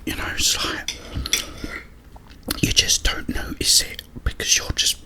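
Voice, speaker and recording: A man speaks entirely in a breathy, unvoiced whisper. He takes his time, rambling in ASMR style with halting phrases and long pauses between thoughts. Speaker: ASMR creator. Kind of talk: whispered ASMR ramble about video games.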